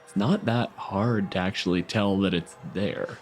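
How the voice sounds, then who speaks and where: A man with a fairly low voice works as game master and podcast co-host. He talks casually as he referees a tabletop game, with faint music underneath. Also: measured pace.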